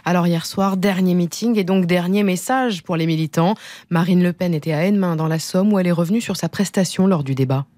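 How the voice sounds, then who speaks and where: A woman's voice: on the low side, a little fast, mellow, no echo. Female radio newsreader, thirties, scripted bulletin delivery, very dry studio acoustic. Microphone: close studio microphone with headset monitoring.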